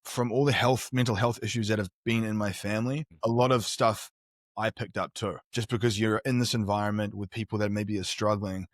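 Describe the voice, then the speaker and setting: A man's voice: lowish voice, steady tone, fairly quick, no room sound, studio quality. A middle-aged man with a New Zealand accent, telling his life story as a podcast guest in an earnest, reflective way. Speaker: performance strategist guest. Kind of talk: candid podcast interview.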